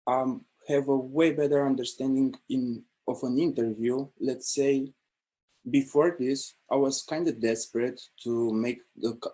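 A young man speaking as an intern on a panel over a video call, earnest, with pauses and restarts. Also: medium pitch, dark tone, husky. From Romania. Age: young adult. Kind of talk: panel talk.

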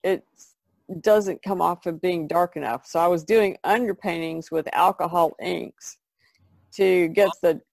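A woman's voice: on the low side, steady tone, a little slow, vocal fry, darkish tone, no room sound. A woman explaining things in a casual, conversational way, as an artist guest on a podcast.

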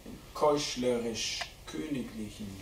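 A man giving a live dramatic poetry reading at a literary event, declaiming deliberately with strong shifts between hushed and loud delivery. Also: mid-range voice. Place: indoor literary event venue at a theatre. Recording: roomy live hall recording, distant mic, faint noise.

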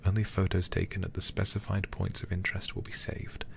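A man streaming a horror game in a soft, intimate ASMR style close to the microphone, muttering and sometimes whispering. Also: low voice, level tone, brisk, creaky, slight background noise. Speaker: livestreamer playing a horror game.

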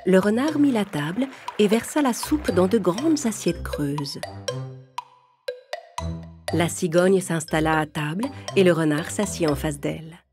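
A woman narrating a children's fable in a calm, clear storytelling manner. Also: fairly low pitch, a little slow. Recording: music playing under narration.

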